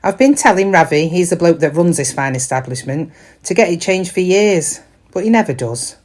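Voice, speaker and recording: An actress as a chatty British shop worker, lively pitch. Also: female voice, moderate pace. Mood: wry, chatty. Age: middle-aged. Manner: confiding, wry, colloquial. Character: chatty middle-aged woman shop worker, kind but quietly weary. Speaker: actor in a dramatic monologue. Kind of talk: conversational dramatic monologue.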